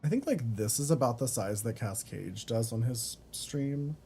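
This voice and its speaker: A man's voice: a little slow, vocal fry, soft background music. A young man streaming a game, chatting casually with his viewers, swearing and reading chat aloud. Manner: chatty, swears, reads chat aloud, swings between flat mutters and high squeals.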